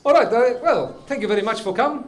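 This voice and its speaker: A man playing an excitable Italian immigrant stage host, speaking broken English with an Italian accent in a playful, animated way. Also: very high-pitched, fast talker, noisy background, voice pushed up. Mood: jovial. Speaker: comedian and show host. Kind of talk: stand-up storytelling and hosting. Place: live club stage with audience. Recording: roomy live stage, faint audience.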